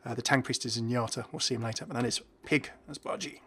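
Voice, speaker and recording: A man's voice: mid-range voice, quick pace, fairly bright tone, husky. A man giving casual, enthusiastic game commentary in an informal, chuckly way. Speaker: video creator presenting game content.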